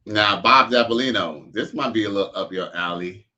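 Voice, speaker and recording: A man's voice: fairly low pitch, moderate pace, noisy background. A man hosting a live stream, reacting to clips with crude jokes and loose slang. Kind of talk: casual reaction commentary. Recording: dull lo-fi stream audio.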